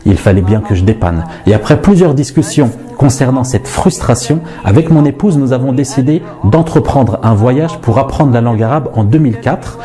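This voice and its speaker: A man's voice: mid-range voice, expressive, moderate pace, hardly any pauses, mellow, soft background music. A man in his forties from France, an Arabic teacher, introducing himself in a chatty, self-mocking yet earnest way. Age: 40s. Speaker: Arabic teacher and institute director.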